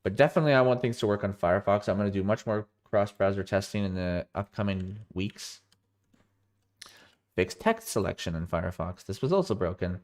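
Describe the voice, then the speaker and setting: Tired man with a cold, low voice. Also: animated, fairly quick. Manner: thinking aloud, self-correcting. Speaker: streamer. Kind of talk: live coding walkthrough.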